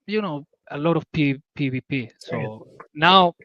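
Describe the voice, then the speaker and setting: A man with a Spanish accent speaks in a slightly raised voice, piecing together his English in halting bursts and warming to his subject as he goes. The call audio is dry and a little dull, as through a modest remote microphone. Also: fairly high pitch, expressive, no echo. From southern Spain. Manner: halting, searching for English words, enthusiastic. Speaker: community member guest. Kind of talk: casual call talk.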